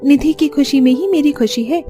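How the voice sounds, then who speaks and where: Woman playing a meek, high-voiced young sister. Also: normal speed, dark tone, faint music behind, close to an everyday voice. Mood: yielding. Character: meek, self-sacrificing young elder sister.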